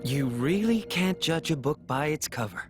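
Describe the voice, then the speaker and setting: A man's voice: fairly high pitch, lively intonation, normal speed, natural voice. Man playing a refined, inquisitive villain's accomplice. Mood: puzzled, intrigued. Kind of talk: dramatic video game voice acting. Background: background music.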